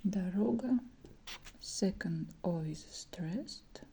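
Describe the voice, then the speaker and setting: Female teacher, soft breathy whisper, very slow hesitant delivery with fillers, ASMR lesson. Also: fairly low pitch, steady tone. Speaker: Russian language teacher.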